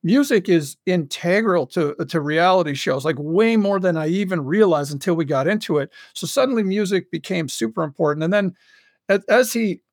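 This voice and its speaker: A man's voice: high-pitched, moderate pace, no echo, clean studio sound. A man chatting casually as an interview guest, telling stories about his creative work with enthusiasm and emphasis. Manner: enthusiastic, animated storytelling with frequent emphasis. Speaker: guest, audio fiction creator and commercial voice director.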